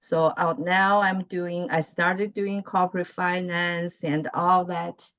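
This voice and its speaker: Slow-talking woman with a Chinese accent. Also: lowish voice, flat delivery, a bit bright, no echo. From mainland China. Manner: halting, searching for words, laughs often. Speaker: guest, lawyer. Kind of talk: casual video-call chat. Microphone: video call. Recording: muffled video call audio.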